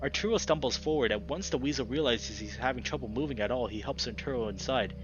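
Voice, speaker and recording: A young adult man reading a visual novel aloud with expressive narration and excitable, emphatic commentary. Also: mid-range voice, quick pace. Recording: slightly dull audio, music behind.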